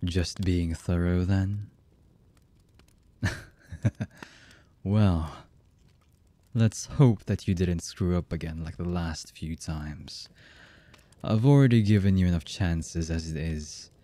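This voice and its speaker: A deep, husky male villain, acted by a man for an ASMR roleplay. He is cold and heartless, threatening with mock politeness. His delivery is extremely unhurried, with long, menacing gaps between phrases. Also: mellow, no echo. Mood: cold, impatient. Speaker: voice actor.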